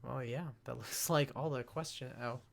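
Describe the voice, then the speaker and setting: A man answering fan questions in a casual live Q&A as a panelist, halting and often rambling as he interrupts himself. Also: medium pitch, fairly even intonation, creaky.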